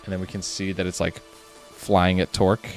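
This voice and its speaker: A man who makes an animated series, chatting casually on a live stream at his desk, rambling with many fillers and restarts. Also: deep voice, moderate pace, faint music behind, poor audio. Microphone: webcam setup at a desk.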